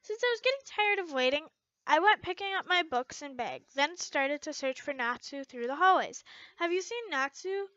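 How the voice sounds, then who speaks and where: A woman reading a first-person story aloud expressively, in a halting way with some stumbles over the words. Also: high-pitched, lively intonation, sharp tone, no echo, dull audio. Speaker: amateur fan fiction reader.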